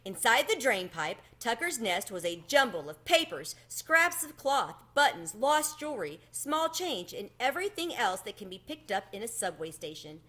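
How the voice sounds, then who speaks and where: Animated woman reading a children's story. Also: a little high, normal speed, hardly any pauses. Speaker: storybook reader. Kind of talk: expressive children's book read-aloud.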